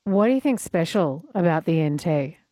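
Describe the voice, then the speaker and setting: A woman's voice: low voice, lively intonation, normal speed, warm tone, dry recording, clean studio sound. A woman presenting a casual, chatty radio show.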